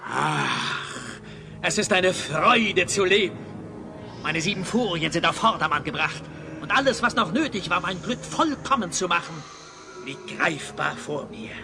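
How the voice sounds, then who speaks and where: A man's voice: high voice, sing-song, measured pace, creaky, over music, heavily acted. A man playing a vain, villainous wizard in a children's audio drama, pompous, imperious and gloating.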